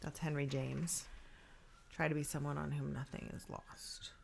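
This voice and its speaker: A woman's voice: sits low, level tone, normal speed, vocal fry. A woman co-hosting a casual chat, rambling and laughing easily as she talks. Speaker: podcast co-host.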